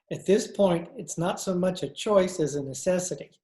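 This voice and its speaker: A courtly old tippler of a judge played by a man in a radio play, heard in a roomy echo. His tone is dark and mellow, his speech florid and halting. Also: sits high, close to an everyday voice. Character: courtly, tipsy old male gentleman called the Judge. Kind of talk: 1940s radio drama acting. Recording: roomy, muffled-toned video-call echo.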